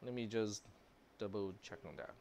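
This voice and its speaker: A man's voice: fairly low pitch, moderate pace, creaky, slight background noise. A man whose first language is Cantonese, giving a casual, chatty university computer science lecture in a classroom, with plenty of fillers. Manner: relaxed, chatty, lots of fillers, chuckles.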